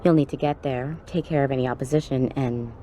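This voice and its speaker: Low, dark-voiced streetwise policewoman. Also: steady tone, brisk, a little hiss or hum. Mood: brisk, businesslike. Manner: blunt, informal, slangy. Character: tough, streetwise woman police officer briefing an operative. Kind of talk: video game cutscene dialogue acting.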